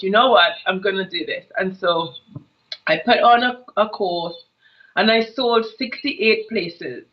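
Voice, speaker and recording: A woman's voice: medium pitch, muffled recording. A woman in her thirties from Trinidad with a Trinidadian accent, a business coach chatting casually in an interview and telling stories with frequent laughter over an earphone headset. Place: home office with brick wall. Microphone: earphone headset on a video call.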